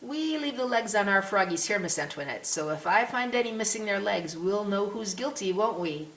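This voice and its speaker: A woman's voice: medium pitch, expressive, normal speed, fairly bright tone, voice pushed up. A woman voicing a genial English policeman in an audiobook, kindly, folksy and teasing with children. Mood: warm teasing. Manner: jovial, avuncular, folksy. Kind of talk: audiobook character voice. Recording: roomy echo with clear hiss.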